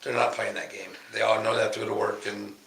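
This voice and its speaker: A man's voice: lowish voice, completely flat delivery, fast talker, creaky, a bit bright, a little hiss or hum. A man co-hosting a casual livestream chat, laid-back, greeting viewers by name. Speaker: podcast co-host. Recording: roomy echo.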